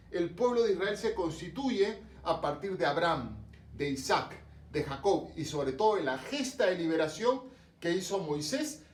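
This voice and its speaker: A man's voice: high-pitched, reverberant. Peruvian guy teaching religion class, kinda far from the mic, some faint noise behind. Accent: Peruvian Spanish, Lima. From Peru, coast (Lima). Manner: explanatory, rhetorical questions, frequent fillers. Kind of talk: online class lecture to students.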